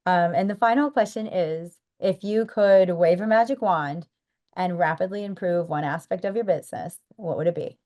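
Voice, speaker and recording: Affirming American woman podcast host, low voice. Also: normal speed. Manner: warm, affirming, enthusiastic. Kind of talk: interview hosting.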